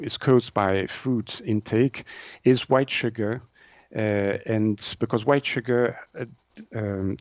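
A man's voice: medium pitch, darkish tone, dry recording, muffled recording. A man from Switzerland with a Swiss French accent, explaining things as an interview guest in his second language, often searching for words.

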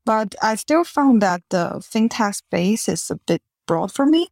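A woman, answering interview questions in an earnest, explanatory way with slightly halting phrasing over a remote call. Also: a little high, expressive, darkish tone, no echo, clean studio sound. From Taiwan. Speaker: podcast guest.

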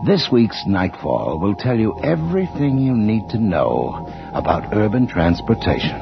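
An actor playing a sinister, brooding radio horror host, theatrical and deliberate in a scripted introduction. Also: male voice, on the low side, sing-song, vocal fry, heavily acted. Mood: drily sinister. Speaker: show host. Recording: dull old radio recording, loud music.

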